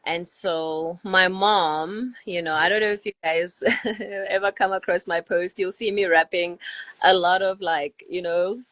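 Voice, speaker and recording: Woman, young adult, lower pitch, dry close sound, heartfelt storytelling, lots of fillers. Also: fairly even intonation, unhurried, a bit bright, no room sound. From South Africa. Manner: earnest, heartfelt, leans on "you know" and "like". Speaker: podcast guest. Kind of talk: personal storytelling in a podcast interview.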